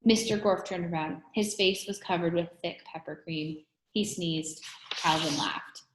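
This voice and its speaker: A woman's voice: lowish voice, unhurried, noisy background. A woman reading a children's story aloud in a storytelling style. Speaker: reader of a children's book. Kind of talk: read-aloud storytelling with character voices. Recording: echoey room.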